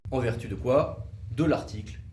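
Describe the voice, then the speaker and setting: A man's voice: mid-range voice, a little mellow. Echoey male legal explainer, conversational. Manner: conversational, hesitant pauses, rhetorical questions. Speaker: legal commentator for a law firm, co-presenter. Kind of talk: explanatory legal video, semi-scripted two-hander. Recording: roomy, echoing sound.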